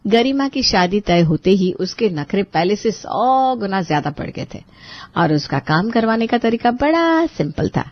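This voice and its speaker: A woman's voice: medium pitch, very animated, normal speed, no echo, dull audio, lightly acted. A woman playing a resentful, put-upon young sister-in-law in a dramatized family story, sarcastic and grudgingly polite. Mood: confiding. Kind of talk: dramatized storytelling with asides to listeners.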